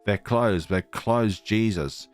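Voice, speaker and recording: A man's voice: lowish voice, slow, soft background music. A man voicing a wry, skeptical young male friend in a visual novel, teasing and exasperated.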